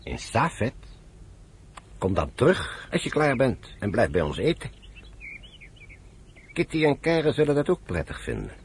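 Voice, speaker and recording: An actor playing a frank, fatherly military adviser in a Dutch radio play, with a measured way of advising. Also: medium pitch, wildly swooping pitch, a little hiss or hum. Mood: cordial.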